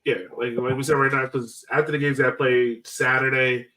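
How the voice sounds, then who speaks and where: A man hosting a casual soccer fan podcast, talking in an animated, slangy way. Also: mid-range voice, brisk, far from the mic, crowd noise. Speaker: sports podcast host.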